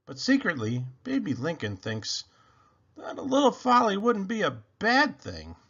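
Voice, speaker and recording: Very animated male storybook reader. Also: high voice, unhurried, dull audio. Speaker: read-aloud narrator. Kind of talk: expressive children's storybook narration.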